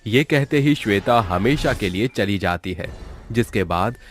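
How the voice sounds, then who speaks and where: A man's voice: fairly low pitch, expressive, a little slow, faint music behind. A man narrating a scripted cartoon story in a storyteller's role.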